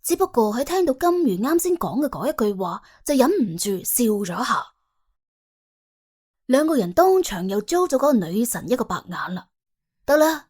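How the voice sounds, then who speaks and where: Lively, high-voiced Cantonese woman storyteller. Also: slightly rough. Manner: animated, wry. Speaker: narrator. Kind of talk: colloquial comic audiobook storytelling.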